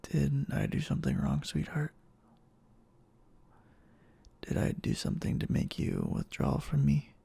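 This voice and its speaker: A man playing a clingy, obsessive, lovestruck boyfriend in an intimate ASMR roleplay, speaking in a halting, tender way with a breathy voice that often drops near a whisper. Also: a little high, wildly swooping pitch, dark tone, heavily acted. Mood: anxious, apologetic, pleading. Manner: halting, pleading, tender endearments.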